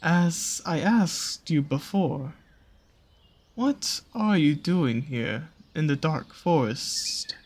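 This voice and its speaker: An actor performs a seductive serpent-creature from a fantasy roleplay audio. The delivery is extremely slow, with drawn-out pauses and lively, swooping intonation. The predator coaxes and teases, showing a soft spot for small, pretty prey. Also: mid-range voice, full character voice. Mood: teasing curiosity. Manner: slow, teasing, coaxing, with pet names and drawn-out pauses. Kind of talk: scripted roleplay audio drama addressed to the listener.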